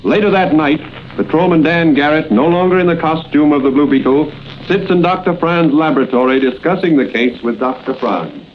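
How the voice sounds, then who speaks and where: A man narrating an old radio drama in a measured, dramatic storytelling manner. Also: a little high, slight background noise. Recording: dull old radio recording with music.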